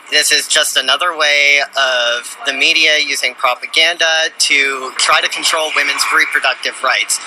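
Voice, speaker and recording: Young guy, bright voice, halting street interview, little bit of noise. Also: mid-range voice, rough recording. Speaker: student protester and interviewee. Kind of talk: explanatory advocacy interview. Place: outdoors on a city street. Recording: faint street noise behind.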